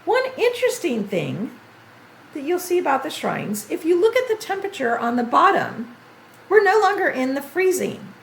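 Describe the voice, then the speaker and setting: An older woman streaming a video game tutorial, chatty and thinking aloud as she plays, her voice going a bit hoarse. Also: high-pitched, big pitch swings, moderate pace, echoey room, a little hiss or hum. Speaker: gaming streamer. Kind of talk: game tutorial livestream commentary.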